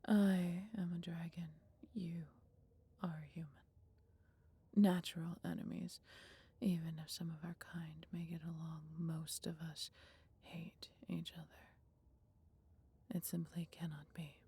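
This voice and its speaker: Woman voicing a husky, breathy, gentle she-dragon. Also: lowish voice, little pitch movement, full character voice. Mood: resigned, sad. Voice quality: breathy, husky, half-whispered. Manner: measured, formal, old-fashioned phrasing. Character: gentle, wise female dragon, fond of her human. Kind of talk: slow, intimate roleplay acting addressed to listener.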